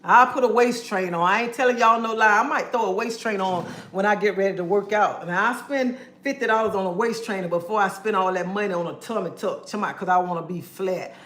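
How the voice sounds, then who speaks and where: A woman's voice: mid-range voice, a little fast, few pauses, a bit bright, reverberant. A woman giving a sassy, preachy pep talk on a casual livestream, calling listeners honey and baby.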